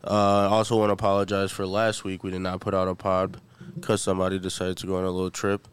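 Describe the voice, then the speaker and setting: A man's voice: low voice, completely flat delivery, fast, smooth. A man in his twenties who is sick, hosting a podcast in a loose, joking, slangy way. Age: 20s. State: sick, congested. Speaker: podcast host. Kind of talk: casual chat.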